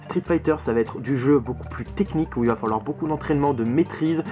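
A man's voice: medium pitch, fast talker, music in the background. A man giving a casual video game review, opinionated and emphatic, often repeating words and swearing. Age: 20s. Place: small bedroom at home, indoors.

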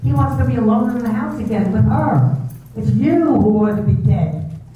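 A woman voicing a cold, cruel female housekeeper in a 1930s-style radio drama, talking in a low, insinuating, taunting way. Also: lively intonation, moderate pace, close to an everyday voice. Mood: taunting, menacing. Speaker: reader in an amateur theater group. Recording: echoey tinny hall recording from far off, with noise.

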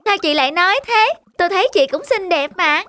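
A woman's voice: very high-pitched, lively intonation, a little fast, bright, forceful tone, exaggerated voice. A woman voicing a kind, encouraging, breezy goldfish friend in a children's cartoon. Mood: reassuring.

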